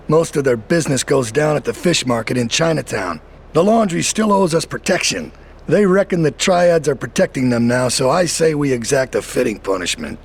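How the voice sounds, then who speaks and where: A man's voice: on the high side, creaky, slight background noise. A man playing a tough mob lieutenant who gives blunt orders in a game cutscene.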